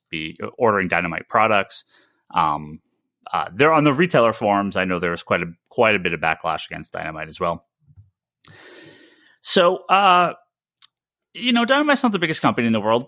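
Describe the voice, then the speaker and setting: A man with a fairly low, very bright and forward voice, recorded close and dry. He talks like a podcast host giving casual commentary. His delivery is halting, with stammered starts and repeated words. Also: dry recording. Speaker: podcast host and comic shop owner.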